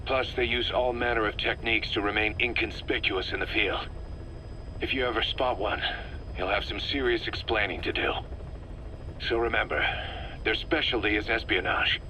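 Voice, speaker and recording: A stern military commander in a video game, with a lowish, hard-edged voice. He briefs in clipped, emphatic phrases with a suspicious temper, over slight noise. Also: moderate pace, bright, forceful tone, poor audio. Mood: firm, instructive.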